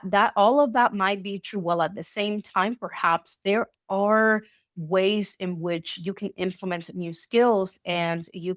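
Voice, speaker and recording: A woman giving a casual online talk with slides, slightly nasal from a cold, with halting speech and frequent restarts. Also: fairly low pitch, dry recording, clean studio sound. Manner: halting, many restarts and repeated words. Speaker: presenter.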